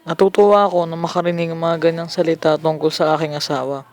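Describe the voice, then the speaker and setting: A man's voice: fairly high pitch, little pitch movement, fast talker, a little mellow, lightly acted. A young man with a Filipino accent playing a devoted, polite widowed husband in an amateur radio drama. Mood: grateful, subdued. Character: devoted, grieving husband and father, polite and pleading.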